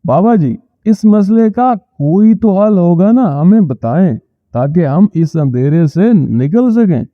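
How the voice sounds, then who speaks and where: Slow, grave village man, acted out. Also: high-pitched, expressive, dry recording, narrow, tinny audio. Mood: worried. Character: troubled village men and a grave old elder. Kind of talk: children's cartoon story dialogue.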